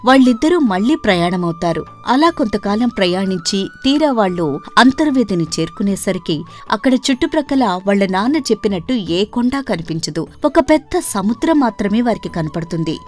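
A woman reading scripted narration as a storyteller for an animated tale. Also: mid-range voice, moderate pace. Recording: voice over background music. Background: background music.

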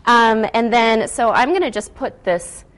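A woman's voice: a little high, animated, unhurried, a bit bright. An American woman chatting casually and enthusiastically as a guest food stylist, walking through a cooking demonstration in informal speech. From Wisconsin, United States.

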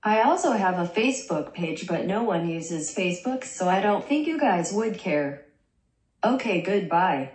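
A synthetic woman's voice reading a young vlogger's lines in a deadpan way, heard in a small bedroom through the camera mic. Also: on the low side, a little slow, echoey room. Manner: deadpan, dry jokes. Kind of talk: text-to-speech vlog narration.

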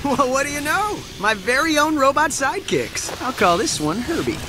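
An American man, a young adult, voicing a wisecracking, cocky masked superhero in a video game with sarcastic, energetic delivery. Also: extremely high pitch, normal speed, noisy background. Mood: joking. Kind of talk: game voice acting, quippy combat banter. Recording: loud music and effects over voice.

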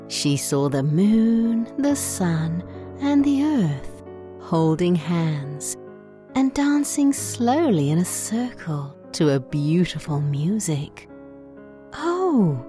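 A woman narrating a children's bedtime story in a gentle, measured, scripted way. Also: on the low side.

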